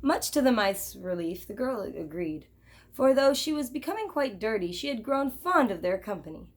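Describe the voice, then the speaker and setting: A woman's voice: a little high, sing-song, normal speed. A woman teacher reading a children's picture book aloud expressively, with chatty asides to her listeners.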